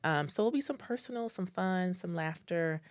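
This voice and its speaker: Deep-voiced woman, playful podcast co-host. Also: expressive, moderate pace, clear, resonant tone, dry recording, studio quality. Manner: playful, upbeat storyteller. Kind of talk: casual podcast chat.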